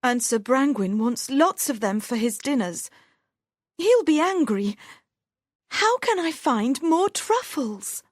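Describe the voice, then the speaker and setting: Man doing a high tearful village girl. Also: sing-song, takes it slowly, dry recording, heavily acted. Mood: worried. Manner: tearful pleading. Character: frightened young girl and her mother. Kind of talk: storybook reading.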